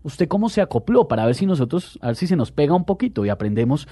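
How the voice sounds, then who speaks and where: A man's voice: a little high, sing-song, fast, dark tone, no room sound, studio quality. A man hosting a talk show who asks his guest questions and laughs along with him. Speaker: host. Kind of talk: casual interview.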